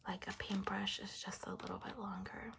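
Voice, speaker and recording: A young woman hosting an ASMR video, speaking softly in a whispery, sometimes raspy voice and chatting in a rambling way with fillers. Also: mid-range voice, little pitch movement, normal speed, heavy background noise. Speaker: ASMR channel host. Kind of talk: soft-spoken ASMR.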